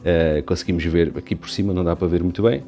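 Low, mellow Portuguese man chatting casually. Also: fairly even intonation, fast, faint music behind. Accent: European Portuguese. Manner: chatty, rambling, many restarts and fillers. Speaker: aquarium hobby youtuber. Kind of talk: casual walkthrough narration.

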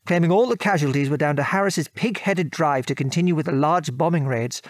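A man's voice: on the high side, no room sound, studio quality, close to an everyday voice. An actor with a British accent playing a former RAF airman and father, frankly recounting his war service in an articulate, old-fashioned way for an audio drama. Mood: bitter, indignant. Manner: articulate, measured storytelling with old-fashioned British turns of phrase. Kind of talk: conversational audio drama reminiscence.

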